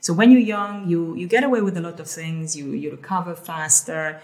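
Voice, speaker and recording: A woman's voice: lowish voice, fairly quick, a little mellow. A middle-aged woman from Hungary, co-hosting a casual conversation and often repeating words as she thinks aloud. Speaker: co-host.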